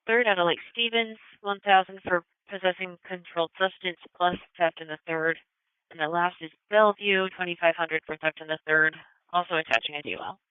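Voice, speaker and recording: A woman's voice: lowish voice, a little fast, clean tone, dry recording, phone-quality sound. A woman working as a police dispatcher, speaking in clipped, procedural radio calls over a scanner feed. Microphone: two-way radio dispatch mic. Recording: thin band-limited police scanner radio.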